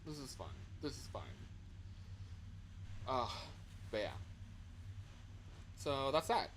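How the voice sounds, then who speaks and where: A man's voice: mid-range voice, expressive, slow pace, fairly bright tone, slight background noise. A man doing casual, slangy gaming commentary as a livestreamer, with exasperated exclamations. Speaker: livestreamer playing a horror game.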